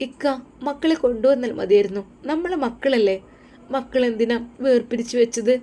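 A woman's voice: on the high side, lively intonation, moderate pace, mellow, pitched above the performer's own voice, natural voice. A woman voicing a devoted young wife who speaks in a sweet, earnest and pleading way.

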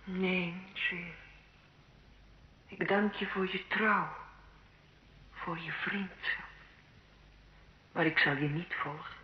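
A woman's voice: lowish voice, steady tone, takes it slowly, pauses often. An actress playing a devout, resigned young woman prisoner and visionary in a solemn, theatrical style of old radio drama. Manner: solemn, theatrical, hushed to impassioned. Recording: dull, roomy old radio recording with noise.

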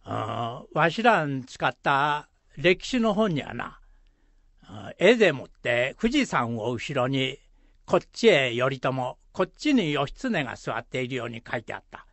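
A man playing a gruff, proud old former village headman with a Shizuoka accent in an amateur radio drama, talking in a rustic, hesitant way. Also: medium pitch, very animated, a bit bright, dry recording. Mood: pondering, self-important. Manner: slow, rustic, grunting hesitations.

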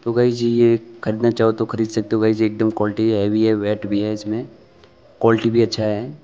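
A man's voice: fairly low pitch, completely flat delivery, a little fast, mellow, muffled recording. An Indian man narrating an unboxing and product demonstration, explaining informally step by step and repeating himself as he addresses viewers. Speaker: product review vlogger.

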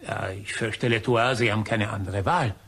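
A man's voice: lowish voice, normal speed, a bit bright, slightly rough. An actor playing a stern, self-righteous office man in a crime radio play, speaking formally and insistently. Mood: firm.

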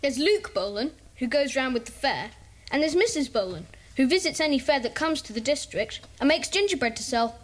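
A woman voicing a bossy, eager schoolboy club leader with an English accent, brisk and commanding, in a children's audio drama. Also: high-pitched, lively intonation. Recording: noisy cassette audiobook transfer.

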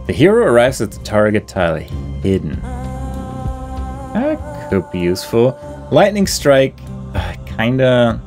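A man's voice: mid-range voice, wildly swooping pitch, measured pace, music in the background. A man giving casual video game playthrough commentary, reading out details and thinking aloud in an understated, musing way. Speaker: gaming video host.

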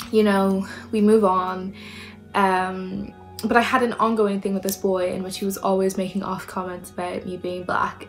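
A young woman with an Irish accent speaks in a level, even voice, pausing often with ums as she recalls a memory. Soft music sits faintly under her, recorded close on a phone camera in a small room. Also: medium pitch. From Ireland. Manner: reflective, halting with fillers. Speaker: interviewee. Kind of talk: personal testimony.

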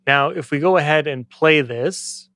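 A man in his thirties giving a conversational, step-by-step software tutorial as an instructor, close to the microphone. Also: fairly high pitch, measured pace. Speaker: music production tutorial instructor.

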